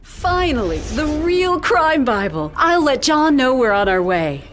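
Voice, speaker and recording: An actress playing a brisk, confident magician team leader in a video game, with a businesslike manner. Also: female voice, high-pitched, sing-song, a little hiss or hum. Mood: focused. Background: music.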